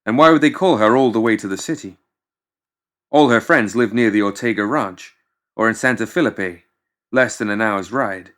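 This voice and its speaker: A man reading scripted fiction as an audiobook narrator, in a measured, dramatic storytelling manner. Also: fairly low pitch, fairly bright tone.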